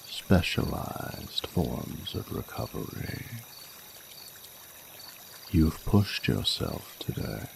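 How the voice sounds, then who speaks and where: A man playing an ancient, regal male dragon in a romantic audio roleplay, speaking formally and seductively with deliberate pauses. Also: rumbling low, gravelly, noisy background, full character voice. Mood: seductive, concerned. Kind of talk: romantic audio roleplay, intimate monologue to the listener.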